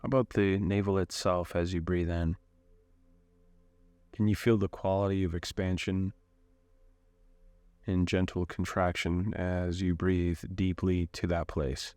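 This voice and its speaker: A man leading a guided meditation in a calm, unhurried way, giving gentle instructions with long pauses. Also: low-pitched, smooth, no echo.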